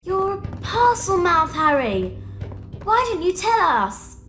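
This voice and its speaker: A woman's voice: very high-pitched, big pitch swings, takes it slowly, a bit bright, a little hiss or hum, heavily acted. A woman playing a clever, bossy schoolgirl witch in a video game, explaining things earnestly like a know-it-all. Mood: earnest, concerned.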